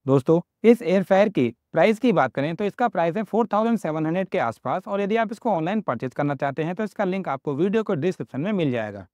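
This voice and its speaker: A man in his thirties with an Indian accent, presenting a product review and then a recipe walkthrough in an upbeat sales pitch. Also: lively intonation, fast, hardly any pauses, darkish tone, no echo. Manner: upbeat salesy pitch, addresses viewers as friends. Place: small home office room indoors. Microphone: lapel mic.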